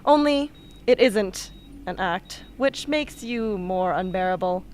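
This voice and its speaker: Attrice che fa una giovane donna sarcastica, registrazione rumorosa. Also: female voice, mid-range voice, lively intonation, slow pace, darkish tone. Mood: blunt, scornful. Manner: sarcastic, mocking. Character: sardonic, blunt, tough young woman patient, bitterly teasing. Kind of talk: amateur radio drama acting. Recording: noisy amateur radio play recording.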